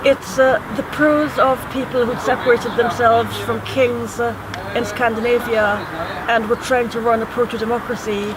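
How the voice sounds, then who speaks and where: A poet from Trinidad in reflective conversation, speaking in a measured, thoughtful, erudite way outdoors by a city waterfront. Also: male voice, very high voice, level tone. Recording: outdoor recording with traffic noise.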